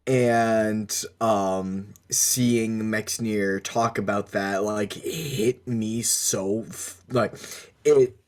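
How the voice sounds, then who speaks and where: A young man podcast co-host talking casually and personally, in a halting, hesitant way. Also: on the low side, steady tone, darkish tone. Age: young adult.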